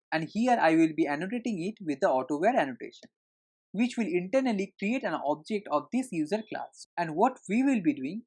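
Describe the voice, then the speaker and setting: Casting: female tutorial instructor with a somewhat low voice, walking learners through each step methodically. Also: a little mellow. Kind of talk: explanatory screencast tutorial narration.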